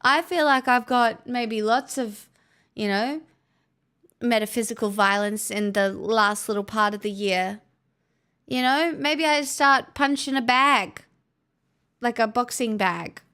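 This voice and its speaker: A woman's voice: fairly high pitch, takes it slowly, bright, forceful tone, smooth. A woman hosting a livestream, chatting casually with viewers in a playful, teasing way. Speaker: livestream host.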